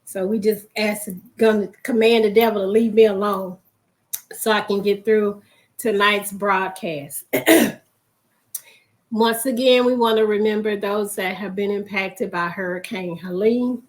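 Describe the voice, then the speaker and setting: A woman hosting a faith broadcast, hoarse and losing her voice, often clearing her throat as she gives a reflective, encouraging talk. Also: mid-range voice, steady tone, unhurried.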